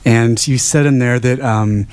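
A man's voice: medium pitch, fairly even intonation, unhurried, a little mellow, clean tone, no echo. A man in an audience asking a question in a polite, hesitant way. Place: bookshop event.

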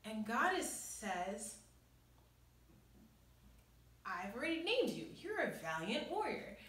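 A young woman giving informal, earnest Bible teaching in a conversational way with emphatic pauses, recorded in a small bare room. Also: on the high side, big pitch swings, fairly bright tone. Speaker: devotional teacher and host. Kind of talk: informal Bible teaching, reading and explaining scripture. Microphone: camera a few metres away. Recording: echoey room, distant microphone.

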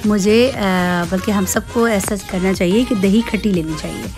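A woman narrating cooking steps in an unhurried, explanatory way. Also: mid-range voice, music in the background. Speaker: cooking vlogger. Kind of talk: cooking voice-over.

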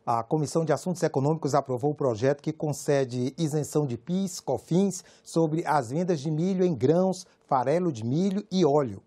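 A man anchoring a television news bulletin, reading scripted items in a measured, formal way. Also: fairly high pitch, dark tone, husky. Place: TV studio.

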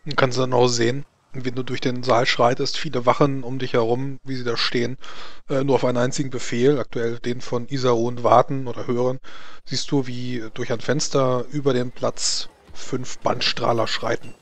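A man's voice: medium pitch, level tone, moderate pace. A man narrating as game master of a tabletop roleplaying game, informal and improvising as he goes. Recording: background noise.

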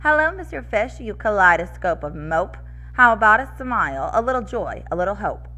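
Lady doing a bossy sea critter from a picture book, bright, scolding in rhyme. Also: medium pitch, very animated, normal speed, faint music behind. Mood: chiding, urging. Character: chiding sea creature friends urging cheer.

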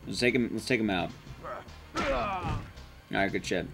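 A man's voice: medium pitch, wildly swooping pitch, takes it slowly, creaky, over music. A man giving casual live commentary as he plays a game, swearing and muttering asides to himself. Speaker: streamer, speedrunner. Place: indoor room, streaming setup.

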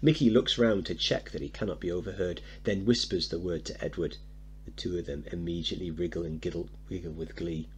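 A man's voice: low voice, fairly quick, slight background noise. A man teaching, reading out a play's stage directions and explaining them as he goes. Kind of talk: dramatic reading with analysis.

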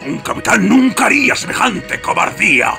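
A man voicing a blustering, bullying big villain captain in a cartoon dub, with a gravelly voice and a Castilian Spanish accent. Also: high voice, big pitch swings, moderate pace, music in the background, exaggerated voice. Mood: menacing, mocking. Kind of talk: cartoon villain fandub audition.

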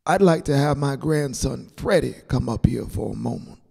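A man voicing an elderly grandfather in a storybook, sentimental and grateful, speaking tenderly and haltingly with a creaky voice. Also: mid-range voice, lively intonation, pitched above the performer's own voice, full character voice. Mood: sentimental, gentle. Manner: halting, tender, soft-spoken. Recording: long hall reverb on the voice.

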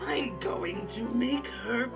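A man voicing an excitable, shrieky male cartoon henchman with a melodramatic streak. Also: very high-pitched, takes it slowly, vocal fry, pitched above the performer's own voice, exaggerated voice. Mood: vengeful. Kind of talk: cartoon fantasy voice acting. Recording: music under the voice.

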